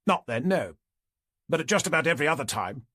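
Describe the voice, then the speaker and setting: A man's voice: a little high, big pitch swings, a little fast, pushed and bright, dry recording, clean studio sound, pitched above the performer's own voice, exaggerated voice. A man voicing an indignant, pompous middle-aged neighbour in an audiobook, with a clipped British accent. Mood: testy. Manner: pompous, exasperated.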